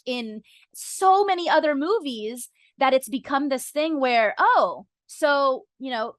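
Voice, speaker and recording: A woman's voice: sits high, fairly bright tone, no room sound. A woman guest on a podcast explaining her views conversationally, earnest and articulate, often saying "you know".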